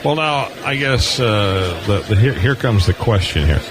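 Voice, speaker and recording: Animated male interviewer amid loud venue noise. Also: on the low side. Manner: halting, rambling questions with repeated starts. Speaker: radio show host, interviewer. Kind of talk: informal live interview. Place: booth on a convention hall floor. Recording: noisy busy convention floor background.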